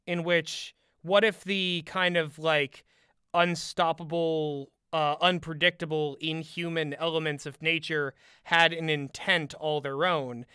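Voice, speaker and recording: Bright, higher-voiced man, crisp and reflective. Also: no room sound, clean studio sound. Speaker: podcast co-host and commentator. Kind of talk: conversational media analysis discussion.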